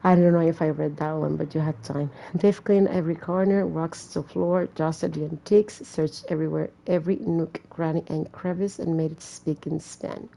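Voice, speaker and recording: Low-pitched, creaky-voiced woman gamer. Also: fairly even intonation, moderate pace, hardly any pauses, mellow, low-quality recording. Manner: casual, chatty, joking asides. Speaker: gamer playing and reading aloud a horror visual novel. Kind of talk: gameplay commentary mixed with story reading.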